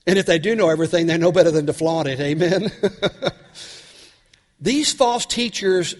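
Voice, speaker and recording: Raspy man preaching in a reverberant church. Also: high-pitched. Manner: earnest, asks the congregation questions. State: raspy from a cold. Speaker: pastor. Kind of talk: sermon.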